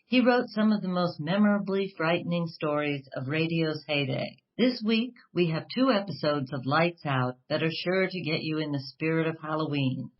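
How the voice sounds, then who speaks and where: A woman's voice: low voice, expressive, normal speed, few pauses, dull audio. A woman hosting a radio show, reading a scripted introduction. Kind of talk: scripted narration.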